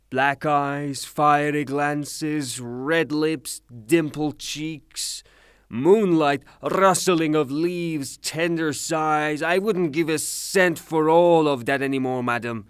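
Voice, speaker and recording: A man's voice: a little high, smooth, no echo, clean studio sound. A man acting in a theatrical audio drama as a furious, rude creditor given to blustering, sarcastic tirades. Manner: blustering, declamatory, sarcastic, long rhetorical tirades. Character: furious, rude creditor, a ranting misogynist gentleman.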